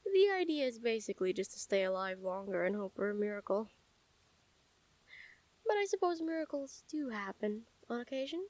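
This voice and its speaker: A woman playing a calm, menacing yet smitten assassin in an intimate audio roleplay, speaking softly and teasingly with deliberate pauses. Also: high voice, poor audio. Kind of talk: intimate scripted audio roleplay addressed to the listener.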